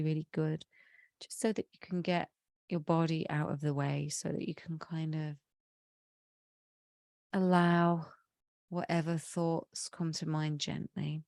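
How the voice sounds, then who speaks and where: Woman, meditation guide, slightly croaky, soothing slow phrasing, dry close sound. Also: sits low, mellow, dry recording. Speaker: meditation leader.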